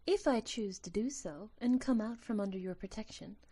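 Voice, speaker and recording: A woman's voice: medium pitch, moderate pace, mellow, slightly rough, lightly acted. A woman playing a tiny, delicate winged sprite in an audio drama, earnest and tender, with formal, solemn phrasing. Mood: grave, pleading.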